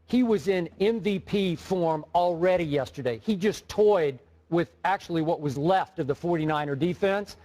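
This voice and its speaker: A man's voice: fairly high pitch, darkish tone. A man arguing his opinion on a studio sports debate show, emphatic and repeating words as he presses his point.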